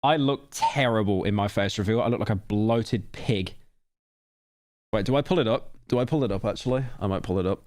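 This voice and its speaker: A man in his late twenties streaming a reaction video, talking casually and rambling, with self-deprecating asides and sudden loud outbursts. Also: lowish voice, a little fast, dry recording, clean studio sound. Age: late 20s.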